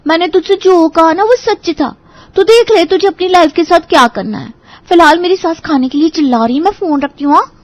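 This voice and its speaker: A woman's voice: very high-pitched, brisk, dry recording. A woman playing a young married friend who is blunt, cynical and emphatic in her warnings, in an audio story drama. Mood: blunt, dismissive.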